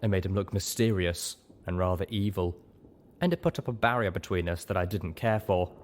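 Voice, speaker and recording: A man's voice: sits low, a little fast, slight background noise. A man reading scripted story narration as an audiobook narrator.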